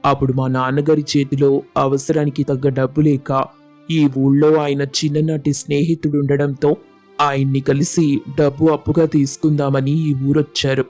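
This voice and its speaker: A man performs an earnest, humble young villager from a moral tale. He is measured and respectful, with a slightly high voice and flat, steady melody over soft music. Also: natural voice. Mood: earnest, explaining. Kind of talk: acted moral storybook dialogue.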